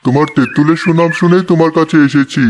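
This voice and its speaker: A man's voice: on the high side, fairly even intonation, quick pace, natural voice. A man playing a polite, businesslike tamarind merchant in a children's cartoon, with faint noise behind. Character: brisk big tamarind merchant, polite buyer. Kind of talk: Bengali children's cartoon dubbing.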